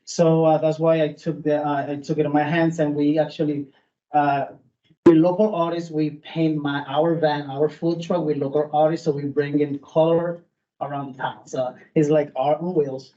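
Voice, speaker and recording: A man with a strong Puerto Rican accent speaks at a town meeting, halting and earnest, full of restarts and fillers. His voice sits a little high and sounds soft and mellow. The recording is dull and muffled. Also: flat delivery. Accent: Puerto Rican Spanish. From Puerto Rico. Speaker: food truck owner speaking at public meeting. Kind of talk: informal public comment. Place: public meeting room.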